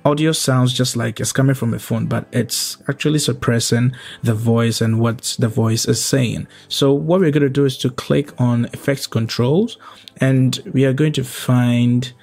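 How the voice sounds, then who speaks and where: A young adult man narrating an explanatory tutorial as its host, going through it step by step in a measured way. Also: medium pitch, steady tone, creaky, a little mellow. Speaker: host of a video editing tutorial. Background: background music.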